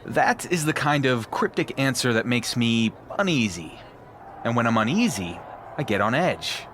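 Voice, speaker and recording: A man playing a wary, defiant pro wrestling champion in a video game, speaking in a dry, deliberate way. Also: medium pitch, big pitch swings, fairly bright tone, slight background noise. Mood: edgy, defiant.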